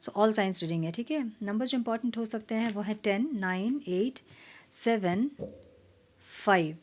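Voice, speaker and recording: A slightly hoarse woman talks her viewers through a tarot reading in a relaxed, chatty way. Her voice sits in a middle range and sometimes sinks to a soft near-whisper. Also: medium pitch, unhurried. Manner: soft, mixing Hindi and English, drops to near-whispers while shuffling. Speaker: tarot reader. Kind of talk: casual tarot reading monologue.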